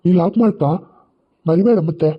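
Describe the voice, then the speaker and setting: A performer voicing a brisk, bossy younger sibling in a cartoon comedy, with a Kundapura Kannada accent, recorded in muffled audio. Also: mid-range voice, breathy edge, close to an everyday voice.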